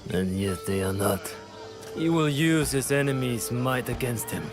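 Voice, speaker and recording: An actor playing a shrewd, philosophical strategist in a video game, with a measured, assured, oratorical way of speaking. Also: male voice, medium pitch, over music, natural voice. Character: shrewd, philosophical male strategist, confident and composed. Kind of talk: video game cutscene acting.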